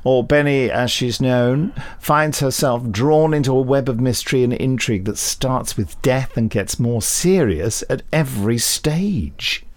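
A man's voice: mid-range voice, animated, measured pace, few pauses, dry recording. A man co-hosting a podcast who chats in a jokey, playful way with mock-posh asides. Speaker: podcast co-host. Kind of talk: casual podcast chat.

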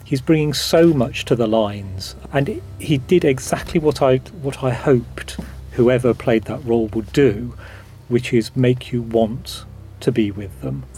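A man's voice: mid-range voice, measured pace, mellow. A man from a drama production team talking in a behind-the-scenes interview. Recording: clear background noise and ambience.

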